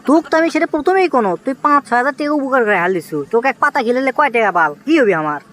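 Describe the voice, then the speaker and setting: A man voicing an excitable, scheming young village man in a comic cartoon, with a Bogura accent from northern Bangladesh and an exclamatory, wheedling manner. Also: extremely high pitch, racing. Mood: boastful. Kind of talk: comic cartoon dialogue in rural dialect.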